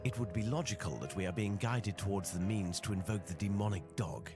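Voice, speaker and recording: Grave, low-voiced gentleman detective, music behind. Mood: analytical, composed. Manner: measured, formal, deductive. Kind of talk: video game cutscene acting. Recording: loud music under the voice.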